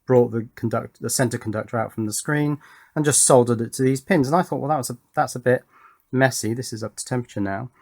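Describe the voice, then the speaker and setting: A man giving an unscripted hands-on build commentary as an electronics hobbyist presenter, thinking aloud in an informal, explanatory way. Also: mid-range voice.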